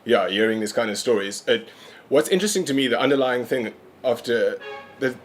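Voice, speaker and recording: A man in his late twenties from South Africa, speaking in a thoughtful, halting way in a casual discussion. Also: medium pitch, faint music behind. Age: 20s. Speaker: graphic designer, panel guest.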